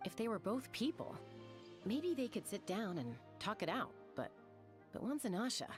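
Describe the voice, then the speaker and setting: A woman's voice: mid-range voice, big pitch swings, normal speed, faint music behind, close to an everyday voice. A woman voicing a thoughtful, friendly young woman in an anime game. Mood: thoughtful, approving.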